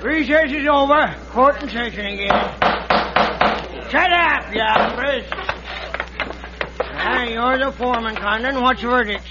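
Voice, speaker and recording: An actor playing a bullying, cantankerous Old West judge who barks orders in rustic slang, in old-time radio western style. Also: male voice, very high voice, unhurried, long pauses, highs cut off. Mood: irascible, bellowing. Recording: noisy, band-limited old radio broadcast.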